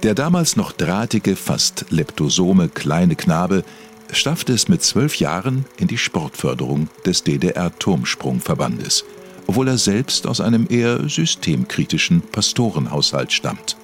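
A man reading a scripted mock-documentary biography in a measured, matter-of-fact way. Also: deep voice, sing-song, soft background music. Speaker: documentary narrator.

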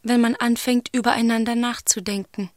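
A woman's voice: on the high side, flat delivery, normal speed, no echo, close to an everyday voice. An actress playing an observant, critical female commentator in a radio drama chorus. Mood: reflective. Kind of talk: radio drama choral narration. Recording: loud music behind.

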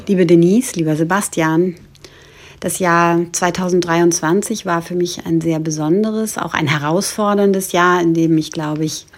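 A woman's voice: lowish voice, steady tone, a little slow, soft background music. A woman speaking as a guest philosopher, giving a reflective recorded message in long, careful sentences.

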